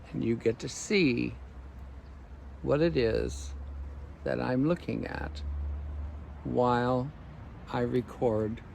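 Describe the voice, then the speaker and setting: A man, a life coach, speaking slowly and reflectively in an evening vlog recorded outdoors on a phone. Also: medium pitch, gravelly, a little mellow, slight background noise. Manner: slow, measured, reflective.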